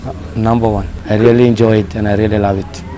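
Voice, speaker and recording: Low flat-voiced guy, plain steady answer, crowd noise behind. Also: fast talker. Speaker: reserve staff member. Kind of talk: interview answer.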